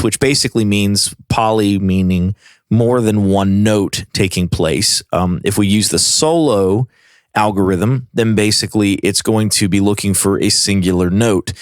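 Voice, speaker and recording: A man presenting a software tutorial in a casual, informal way, explaining things step by step. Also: fairly low pitch, no room sound, clean studio sound.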